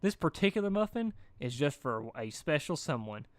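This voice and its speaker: A man's voice: on the high side, sing-song, normal speed, no room sound. Guy doing a sneaky girl party pony from a cartoon, fake sweet and mocking. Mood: smirking. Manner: mock cheer, smirking, muttering asides.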